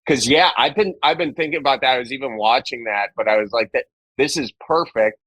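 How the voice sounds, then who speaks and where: A man hosting a podcast, talking in animated, halting bursts with lots of restarts and joking asides. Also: medium pitch, dry recording, poor audio. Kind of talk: casual conversation.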